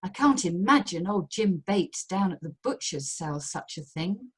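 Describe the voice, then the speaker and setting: A woman's voice: lowish voice, expressive, measured pace, breathy edge. A woman playing a sceptical but willing society member in an amateur radio play.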